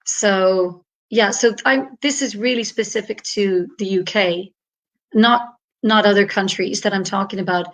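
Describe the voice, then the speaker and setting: A woman's voice: mid-range voice, fairly even intonation, unhurried. A woman from Ireland, an English tutor talking on a video call in a chatty, upbeat way with many fillers. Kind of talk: online panel talk.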